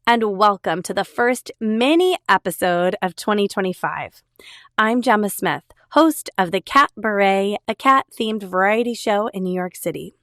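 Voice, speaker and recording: An enthusiastic woman hosts her own podcast, talking chattily straight to her listeners. Her voice is a little raspy from a lingering cold. The sound is close and dry, with no room around it. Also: medium pitch, lively intonation, a little slow, a bit bright, no echo. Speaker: podcast host. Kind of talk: solo podcast monologue.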